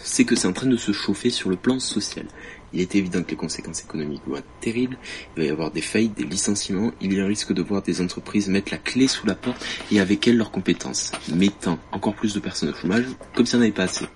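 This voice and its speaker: A man reading a political editorial on the radio in a measured, critical, deliberate way. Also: sits low, slight background noise.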